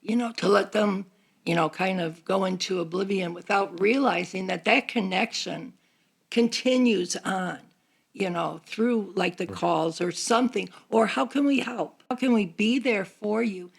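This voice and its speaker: An older woman speaks in a casual group discussion, her voice at an average pitch with a noticeable creak. She explains things earnestly, like a longtime member of a church group. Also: unhurried.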